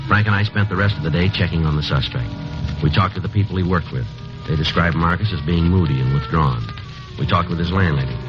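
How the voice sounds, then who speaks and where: A man's voice: sits low, fast, close to an everyday voice. A man playing a terse, methodical police sergeant who narrates and questions in a 1950s radio drama, clipped and matter-of-fact, over music on an old lo-fi broadcast. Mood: narrating.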